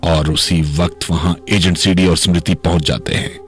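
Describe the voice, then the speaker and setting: Gravelly, solemn crime-serial narrator. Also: male voice, low-pitched, steady tone, normal speed, soft background music. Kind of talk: dramatic radio narration.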